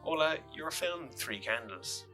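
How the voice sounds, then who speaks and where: A man's voice: on the high side, expressive, a little slow, soft background music. A man hosts a live film Q&A, praising the guest and stumbling over repeated words. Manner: warm, enthusiastic, repeats words while searching. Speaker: event host and interviewer. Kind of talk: live onstage interview.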